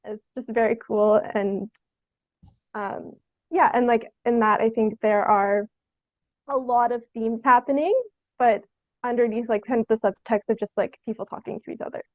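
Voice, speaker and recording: A woman's voice: fairly high pitch, fairly even intonation, normal speed, no echo, narrow, tinny audio. A young woman chatting casually as an interview guest, tentative and meandering, with "like" sprinkled all through her sentences. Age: young adult. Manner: tentative, meandering, heavy use of "like". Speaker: podcast guest, theatre artist.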